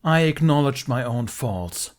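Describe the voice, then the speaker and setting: A man voicing a proud, stern older master who speaks in a booming, defensive growl. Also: animated, a little slow, lightly acted.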